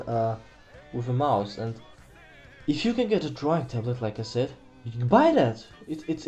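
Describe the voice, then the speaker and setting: A man's voice: medium pitch, mellow. Young German-accented man, rambling tutorial. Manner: rambling, self-correcting, swears casually. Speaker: art tutorial host. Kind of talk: casual screen-recorded drawing tutorial. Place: small room at home, indoors.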